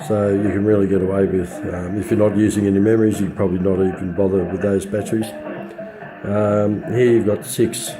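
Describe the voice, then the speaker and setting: Scratchy, low-voiced Australian man reviewing gear. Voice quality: slightly scratchy. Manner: halting, measured, understated. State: recovering from influenza. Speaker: radio hobbyist reviewer. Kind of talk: informal hands-on product walkthrough.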